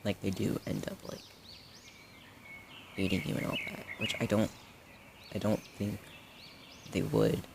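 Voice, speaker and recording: Over clear background ambience comes a low-voiced, creaky young guy a man acting it out, playing the supportive best friend in an audio roleplay. He speaks casually and earnestly, rambling and hesitating as he addresses the listener. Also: level tone, unhurried, pauses often, background noise. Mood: concerned, supportive. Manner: hesitant, rambling, casual with many trailing-off phrases.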